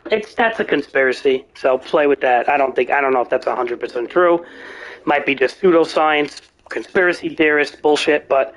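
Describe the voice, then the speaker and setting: Rambling young man streamer, going hoarse. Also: a little high, fairly bright tone, low-quality recording. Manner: rambling, ranting, swears freely. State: voice getting hoarse. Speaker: gaming streamer. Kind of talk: rambling live-stream chat.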